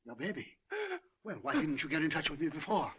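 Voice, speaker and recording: Man acting a fast-talking, blustery old judge. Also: a little high, animated, highs cut off, heavy background noise, lightly acted. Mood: concerned. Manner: sputtering, imperious. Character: blustery, indignant older male judge with a soft heart. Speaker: actor. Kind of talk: old Hollywood melodrama acting.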